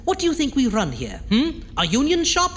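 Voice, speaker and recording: A man's voice: very high-pitched, huge pitch swings, fairly quick, fairly bright tone, heavily acted. A man playing a gleefully malicious, pompous undead horror host with a theatrical, arch delivery in a campy audio drama. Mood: haughty, scolding. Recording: long reverb, faint noise.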